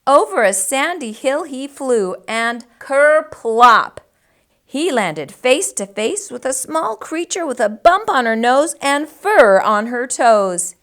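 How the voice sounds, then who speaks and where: A woman reads a children's book aloud as a storytime host. Her voice is high and swings widely in pitch, and she takes her time over the words. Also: very animated, takes it slowly, fairly bright tone. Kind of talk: animated read-aloud narration for children.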